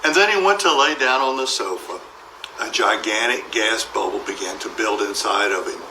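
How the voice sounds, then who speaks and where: A man narrates a children's storybook with a bright, forward voice. He reads expressively and acts out the dialogue. The room adds a clear echo, and there is faint noise behind him. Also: fairly low pitch, lively intonation, normal speed, far from the mic. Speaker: storybook reader.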